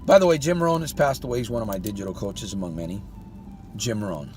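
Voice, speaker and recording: A man's voice: lowish voice, fast talker, a little mellow, faint music behind. A man giving a motivational monologue as a life coach. He keeps firing rhetorical questions at the listener and talks to them in a folksy way, calling them "my friend".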